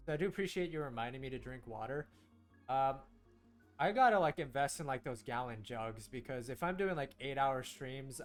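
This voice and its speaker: A young man whose first language was Korean, raised in the United States, chatting casually with viewers while streaming a game, rambling and restarting his sentences. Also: mid-range voice. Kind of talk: casual gameplay stream chat, talking to chat.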